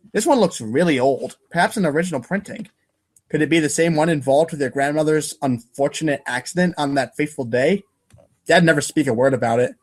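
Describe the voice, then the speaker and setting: Higher-voiced man, theatrical wry narrator. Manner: theatrical, wry, stumbles over long words. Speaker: narrator of a comedic reader's theater podcast. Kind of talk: dramatic reading with second-person narration.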